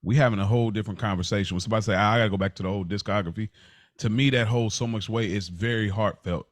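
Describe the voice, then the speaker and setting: A man's voice: on the low side, fairly even intonation, racing, dry recording. An American man co-hosting a podcast in casual, chatty talk. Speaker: podcast co-host and live producer.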